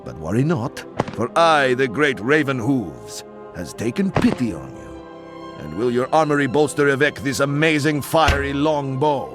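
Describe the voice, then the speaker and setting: A man's voice: mid-range voice, lively intonation, normal speed, over music, lightly acted. A man voicing a snooty, boastful archer hero with a French accent in a cartoonish fantasy video game. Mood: condescending, boastful. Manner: pompous, flamboyant, condescending.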